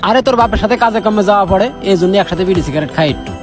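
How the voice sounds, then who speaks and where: A man's voice: high voice, racing, over music, close to an everyday voice. A young man with a rural Bangladeshi accent playing a brash, shifty layabout in an amateur drama. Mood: cocky, taunting. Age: young adult. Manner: cocky, chummy. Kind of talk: amateur Bengali short drama acting.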